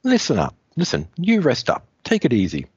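A man voicing a firm young superheroine who talks briskly and sternly. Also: medium pitch, huge pitch swings, darkish tone, husky, dry recording, pitched above the performer's own voice, full character voice. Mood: caring. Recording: dull lo-fi dry recording.

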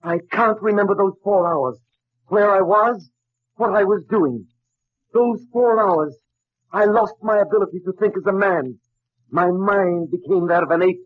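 A man's voice: high-pitched, unhurried, no room sound. An actor playing a driven young male scientist in an old radio drama, intense and melodramatic. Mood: desperate. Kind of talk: 1940s radio acting. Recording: muffled, dry old radio recording.

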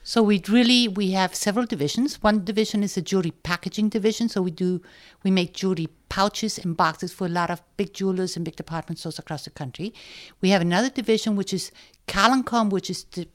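A woman's voice: medium pitch, quick pace. An older woman, explaining her family business as an interview guest. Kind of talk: conversational explanation.

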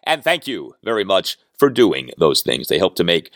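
A man hosts his own sports talk podcast, his voice still recovering after losing it. He talks with lively, swooping intonation and punchy emphasis, dotted with "uh" fillers. The sound is close and dry, with no room around it. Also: sits low, moderate pace, no room sound. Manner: animated, emphatic delivery with frequent "uh" fillers. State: recovering from lost voice.

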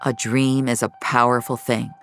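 A woman voicing a gruff, regretful older male mentor in an audio drama. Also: very deep voice, little pitch movement, moderate pace, faint music behind. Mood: grave, insistent. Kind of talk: audio fiction acting.

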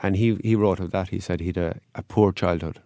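Low-voiced Irish man telling stories. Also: a little fast, dry recording. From Ireland. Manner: relaxed, anecdotal storytelling. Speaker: guest. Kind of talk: interview answers.

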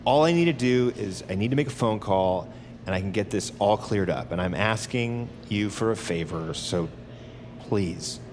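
An actor playing a tense detained assassin, halting and pleading with strained politeness, in an audio drama. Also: male voice, fairly low pitch, expressive, darkish tone, slight background noise, close to an everyday voice. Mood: anxious pleading. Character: tense, desperate detained male assassin insisting he is sane.

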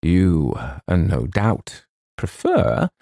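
A man's voice: deep voice, lively intonation, moderate pace, dark tone, dry recording, clean studio sound. A man voicing a stiff, scornful young writer in an audiobook, cold and stern in manner. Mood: stern, disdainful. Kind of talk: audiobook character voice.

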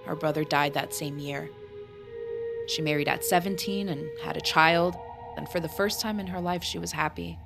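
An actress in an audio drama playing a grave, guarded middle-aged woman who recounts a dark family legend in a measured, solemn way. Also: deep voice, creaky. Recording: loud music underneath.

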